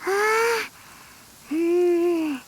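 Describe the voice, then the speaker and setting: A woman voicing a tiny, childlike floating fairy companion in a video game, squeaky, cheeky and bubbly. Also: very slow, slight background noise, full character voice. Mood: grumbling, effortful. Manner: childish, squeaky, refers to self in third person. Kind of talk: cartoonish video game voice acting.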